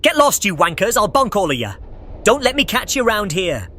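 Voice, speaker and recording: A man playing a sweet, fragile young fellow, kindly and excitable. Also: high voice, moderate pace, full character voice. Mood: angry bluster. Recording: noisy ambience behind the voice.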